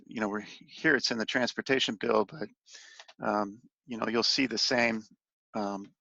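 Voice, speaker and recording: A man with a fairly low, gravelly voice talks through a slide briefing on a conference call. His delivery is halting and informal, with phrases that trail off. The sound is roomy and echoey. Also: sharp tone, lo-fi sound. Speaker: industry association policy advocate briefing on legislation.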